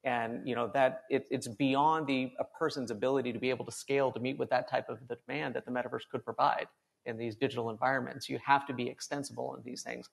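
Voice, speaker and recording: Fast-talking gravelly man on a panel. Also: medium pitch, fairly even intonation. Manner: quick, enthusiastic, repeats words while thinking. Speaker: panel speaker, company executive. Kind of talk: conference panel talk.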